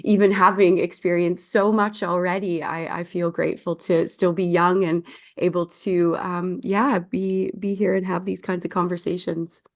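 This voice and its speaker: A woman in her forties with a lowish voice talks as a podcast guest. She sounds earnest and reflective. She speaks with a grateful warmth about her own path. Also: little pitch movement, normal speed. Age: 40s. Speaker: recovery coach, guest. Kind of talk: casual interview chat.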